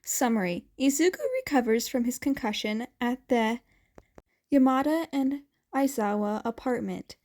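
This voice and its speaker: A woman reading fan fiction aloud as an amateur audiobook narrator, with expressive storytelling. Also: a little high, normal speed.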